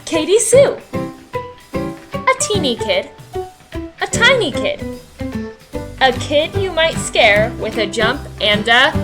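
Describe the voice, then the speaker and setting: Woman storyteller, high voice, very lively swooping intonation, children's book narration, dramatic sing-song delivery, music underneath. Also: slow pace, pauses often. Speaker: read-aloud storyteller. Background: background music.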